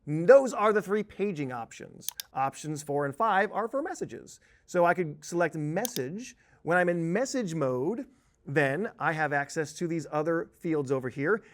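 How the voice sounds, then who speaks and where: A man giving an informal software tutorial in a conversational, emphatic way with some joking. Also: fairly high pitch. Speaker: software trainer.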